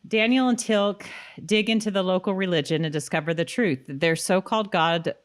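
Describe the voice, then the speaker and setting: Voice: medium pitch, normal speed. A podcast co-host chatting casually and reading recap passages aloud in a conversational way.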